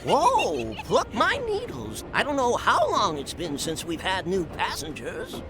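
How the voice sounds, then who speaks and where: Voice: mid-range voice, wildly swooping pitch, a little slow. A singsong spiny train conductor. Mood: jolly. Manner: folksy wordplay, singsong delivery. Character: quirky spiny creature who works as a train conductor. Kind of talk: game cutscene voice acting. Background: game score music.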